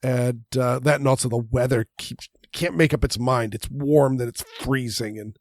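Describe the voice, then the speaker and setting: A nasal-voiced man chats casually on his podcast, a little stuffed up and quick to laugh between phrases. His voice sits mid-range, recorded close and dry with no room sound. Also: medium pitch, steady tone, no room sound. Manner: chatty, laughs between phrases, frequent restarts. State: slightly stuffy nose. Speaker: podcast host. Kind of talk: casual chat.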